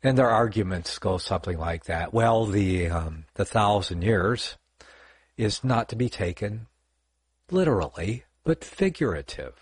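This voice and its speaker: Older man, deep voice, slightly husky, rambling livestream Bible talk, dry close sound. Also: lively intonation, measured pace, no room sound. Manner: rambling, self-correcting, lots of fillers. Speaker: online Bible teacher. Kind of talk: casual livestream teaching.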